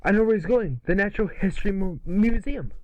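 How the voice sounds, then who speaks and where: A man voicing a brash, cocky young boy hero in a cartoon table read, quippy and excitable. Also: high voice, a little fast, muffled recording, voice pushed up, natural voice. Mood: determined. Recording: distant microphone.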